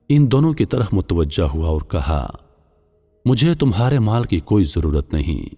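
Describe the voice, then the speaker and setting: A man narrating a scripted religious moral tale as a storyteller, in a measured, solemn manner. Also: sits low, dark tone. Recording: long hall-like reverb.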